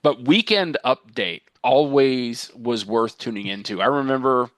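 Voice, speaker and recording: A man's voice: mid-range voice, unhurried, a bit bright, no echo, studio quality. A man taking part in a casual group chat as a panel member.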